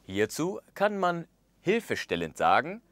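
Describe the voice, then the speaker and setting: A man in his thirties presenting a language course in a studio, speaking with expressive gestures and acting out the example phrases. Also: a little high, a little slow, no echo. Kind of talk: scripted lesson narration. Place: studio with orange backdrop.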